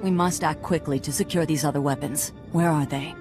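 An actress playing a wise, solemn alien Jedi master in a video game, measured and formal. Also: female voice, low voice, over music. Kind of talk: video game character acting.

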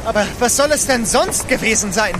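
An actor playing a loyal, excitable manservant aboard a sailing ship in a German audio drama. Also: male voice, very high voice, a little fast. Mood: anxious. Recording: noisy storm and crew sounds behind.

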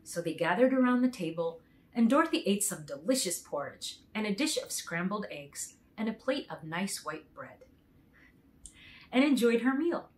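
A middle-aged woman reading a children's story aloud in an expressive, clearly paced way. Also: medium pitch, moderate pace. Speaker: storytime reader.

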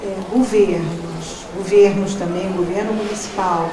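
A woman's voice: fairly low pitch, fairly even intonation, measured pace. Middle-aged woman, podium speech, emphatic, roomy echo, distant, crowd noise. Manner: searching, emphatic. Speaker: government official. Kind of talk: speech at an event. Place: large event hall. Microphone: handheld mic, heard from far. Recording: echoey hall, distant, noisy.

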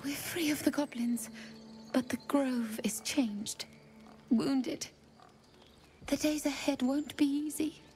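An actress playing a worried, solemn woman of a druid grove in a video game, speaking in a hushed, slow way. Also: sits high, soft background music. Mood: somber, relieved. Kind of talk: dramatic game dialogue.

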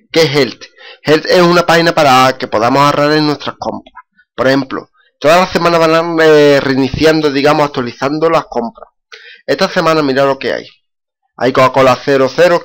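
Man, slightly high voice, unhurried tutorial narration, lots of filler sounds. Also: a bit bright. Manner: slow, step-by-step, many "eh" fillers. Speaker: YouTuber. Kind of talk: screen-recorded tutorial.

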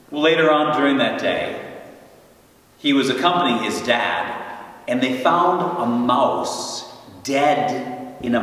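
Slow, reflective priest in an echoing church. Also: male voice, mid-range voice, fairly bright tone. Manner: slow, reflective and guiding, with long pauses. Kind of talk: Good Friday homily. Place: large church sanctuary. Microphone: stand mic some way off, filmed by a far camera. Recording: roomy church reverb, voice from a distance.

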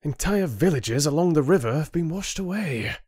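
A young, embittered man of a fantasy audiobook, performed by a male reader. He is defiant and hot-tempered, speaking forcefully and earnestly in a pitch lifted above normal narration. The recording is close and dry. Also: lively intonation, takes it slowly, dry recording. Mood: urgent. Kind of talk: audiobook character acting.

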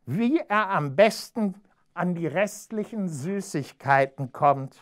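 A storyteller voicing a spiteful, scheming female cat in a children's storybook, with a sneering, drawn-out delivery. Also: mid-range voice, animated, voice pushed up. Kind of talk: storybook character voice.

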